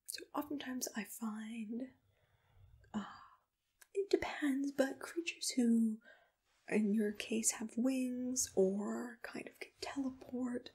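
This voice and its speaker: Slow, breathy whispering ASMR woman. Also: on the high side. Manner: gentle, reassuring, patient questioning. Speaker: ASMR roleplay host playing a matchmaker. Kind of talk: ASMR personal-attention roleplay, unscripted interview questions. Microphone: close-up microphone.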